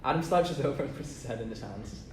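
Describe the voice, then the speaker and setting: A man's voice: mid-range voice, expressive, quick pace. A man narrating stage directions at a script table read. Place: echoey room, off-mic. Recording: echoey, noisy, distant microphone.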